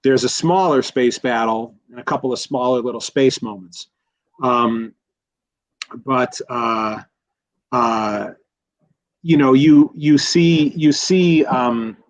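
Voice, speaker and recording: A man's voice: mid-range voice, takes it slowly, pauses often. A man talking about films in a casual podcast discussion, rambling, with many restarts and fillers. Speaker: guest commentator. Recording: slightly dull lo-fi audio.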